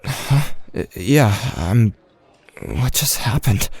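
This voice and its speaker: A man's voice: medium pitch, big pitch swings, slow pace, darkish tone, husky, dry recording, exaggerated voice. An actor playing an anxious, volatile teenage boy who narrates his own story with tense intensity in an audio drama. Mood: shaken. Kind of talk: first-person dramatic narration.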